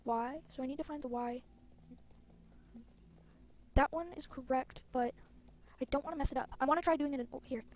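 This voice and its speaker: A woman's voice: high-pitched, steady tone, fairly quick, long pauses, darkish tone. A woman talking through a video game quest as she plays and reads it aloud. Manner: dramatic, does character voices. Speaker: game player narrating a quest. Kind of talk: reading game dialogue aloud.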